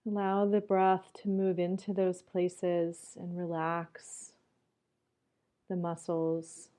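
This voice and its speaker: A woman leading a guided meditation in a calm, gentle manner, leaving long pauses as she goes. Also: on the low side.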